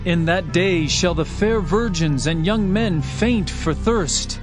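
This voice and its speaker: A man's voice: high-pitched, slow pace, over music. A man narrating a dramatized scripture reading in a solemn, declamatory manner.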